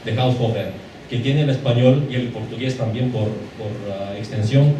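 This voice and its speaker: A man's voice: medium pitch, moderate pace. A man who is not a native Spanish speaker, asking a long, hesitant question from the audience at a lecture. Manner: hesitant, many fillers and restarts. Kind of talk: formal question from the floor. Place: lecture hall. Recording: echoey hall, distant mic, background noise.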